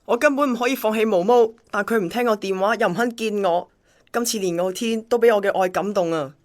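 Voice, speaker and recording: A woman's voice: mid-range voice, fast talker, lightly acted. A woman acting an obsessive, lovesick male courier in a radio drama, pleading and melodramatic, with a Hong Kong Cantonese accent. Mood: pleading, aggrieved.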